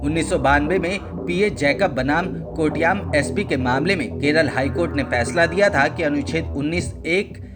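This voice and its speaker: Guy doing formal news explainer voiceover, voice a bit high, clear music bed behind him. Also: normal speed, few pauses. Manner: formal, expository delivery. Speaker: news narrator. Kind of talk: scripted news explainer narration. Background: background music.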